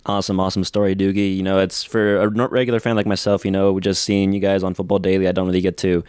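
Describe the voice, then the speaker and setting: A man from the United States hosting his podcast, talking quickly in a smooth, clear voice. He hypes up his guest eagerly, repeating his praise, and the sound is close and dry. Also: low-pitched, flat delivery, a little mellow, no room sound. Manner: eager, repetitive, fan-like hyping. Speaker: podcast host.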